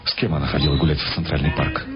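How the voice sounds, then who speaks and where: A man's voice: very deep voice, flat delivery, very fast. A man reading scripted literary narration in the manner of a radio drama. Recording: dull lo-fi radio sound. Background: music behind the voice.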